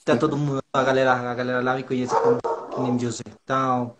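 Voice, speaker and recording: Nervous, halting Mexican man chatting. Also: medium pitch, flat delivery, a bit bright, a little hiss or hum. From Monterrey, northern Mexico. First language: Spanish. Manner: hesitant, halting, with frequent repetitions and slangy tags. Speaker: guest being interviewed. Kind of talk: casual informal chat.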